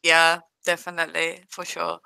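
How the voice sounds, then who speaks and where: Bright, crisp South African woman chatting. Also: on the low side, monotone, normal speed, no echo, poor audio. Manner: chatty, laughs easily, talks straight to viewers. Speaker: livestream host. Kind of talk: casual livestream chat reading viewer comments.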